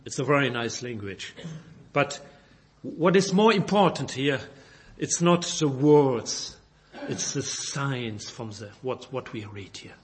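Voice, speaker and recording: A man from Belgium, a native French speaker with a Belgian French accent, preaching and reading scripture in halting English, searching for words and correcting himself. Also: medium pitch, lively intonation, slightly rough. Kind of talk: informal Bible sermon with scripture reading.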